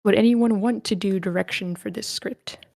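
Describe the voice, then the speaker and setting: A woman with a medium-pitched voice hosts an online script reading, recorded dry and close. She is polite and informal, thinking aloud as she moves things along. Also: steady tone, moderate pace, vocal fry, darkish tone, no room sound. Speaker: host of online table read. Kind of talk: casual hosting of a group meeting. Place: online voice meeting.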